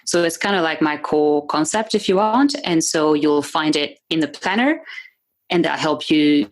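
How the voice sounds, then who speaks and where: Low-voiced woman with a French accent. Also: moderate pace. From France. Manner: chatty, laughs often, many fillers and restarts. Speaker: guest, membership business owner. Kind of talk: podcast interview.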